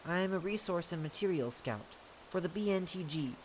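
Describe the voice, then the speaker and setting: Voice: mid-range voice, expressive, normal speed, a little hiss or hum, voice pushed up, close to an everyday voice. A performer voicing a timid, bewildered human scout in an audio drama, hesitant and apologetic. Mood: nervous, earnest.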